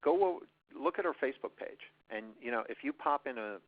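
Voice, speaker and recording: A man's voice: medium pitch, animated, brisk, no room sound. A man giving marketing advice in an unscripted webinar answer, rambling with many restarts and fillers. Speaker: guest presenter, marketing expert. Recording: tinny phone-line audio.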